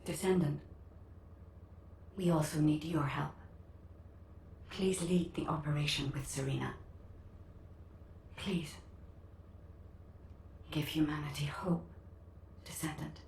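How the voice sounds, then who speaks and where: A woman playing a composed, earnest scientific analyst in a video game, speaking in a measured, formal way. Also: low-pitched, fairly even intonation, vocal fry, a little mellow, full character voice. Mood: pleading. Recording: distant, echoey game audio, faint noise.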